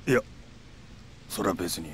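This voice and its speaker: Rough Kansai yakuza. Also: male voice, sits low, lively intonation, a little slow, a bit bright, a little hiss or hum. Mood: uneasy. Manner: blunt, rough-spoken, agitated. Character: hot-headed young yakuza man, rough and impatient. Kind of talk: video game cutscene acting.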